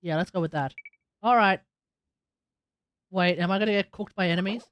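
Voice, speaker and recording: A woman's voice: on the low side, moderate pace. A woman giving casual live reactions as she streams a video game, with noise and clicking behind her. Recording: clear background noise, clicks.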